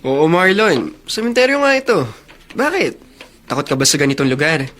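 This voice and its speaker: Bright, boyish Filipino big brother. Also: male voice, a little high, big pitch swings, moderate pace. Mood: calm. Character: playful, affectionate teasing big brother, a youth. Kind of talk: Filipino radio drama acting.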